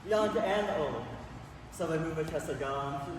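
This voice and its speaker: A man with a high voice delivers a dramatized scripture reading in a declamatory stage style. He sounds distant from the microphone, with heavy echo and noise in a big hall. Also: animated, normal speed. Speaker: performer in a dramatized reading ensemble. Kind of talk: theatrical dramatized recitation of scripture. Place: large reverberant performance hall, live recording. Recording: echoey noisy hall, far from the mic.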